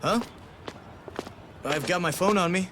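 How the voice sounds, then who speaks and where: A man's voice: high voice, measured pace, background noise. A man playing a brash young swindler in a video game, slangy, defensive and swaggering. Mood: defensive, hostile. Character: brash young college punk, hot-headed male gambler and swindler. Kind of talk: dramatic game cutscene dialogue, English dub.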